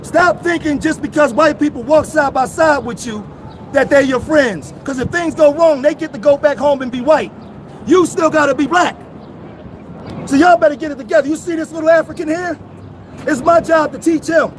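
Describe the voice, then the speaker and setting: High-pitched shouting man. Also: normal speed, slight background noise. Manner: shouting, emphatic, profane. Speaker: protest speaker. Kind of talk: impassioned street speech, then street chat. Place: outdoor city street protest.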